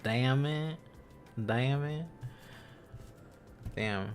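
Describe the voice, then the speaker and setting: A man's voice: medium pitch, very slow, faint music behind. A man hosting a casual livestream, thinking aloud as he studies, in a rambling, silly, exclaiming manner. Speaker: livestream host.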